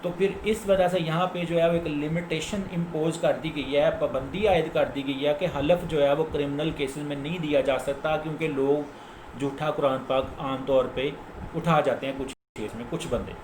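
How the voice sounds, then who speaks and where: A Pakistani-accented man speaks in a slightly raised register, teaching law informally. He explains carefully, repeats himself and drops in English legal terms. The room rings with echo, and there is clear noise behind him. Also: on the high side, fairly even intonation, moderate pace. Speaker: lawyer giving legal explainers on own channel. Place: indoors, office.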